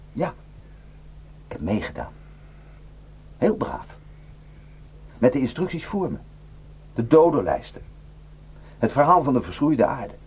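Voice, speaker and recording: An actor playing a haunted, guilt-ridden male defector in a Dutch radio drama, speaking in a halting, intense and bitter way. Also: fairly low pitch, darkish tone, husky, full character voice. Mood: anguished, guilty. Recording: noisy radio play recording with hum.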